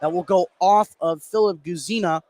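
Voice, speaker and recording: A man's voice: high voice, normal speed, a little mellow, slight background noise. An American man giving live play-by-play commentary as a basketball announcer.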